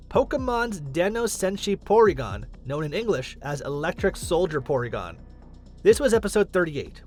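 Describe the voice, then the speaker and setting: High-pitched, lively male countdown narrator over music. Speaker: video narrator, list countdown host. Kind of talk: scripted narration.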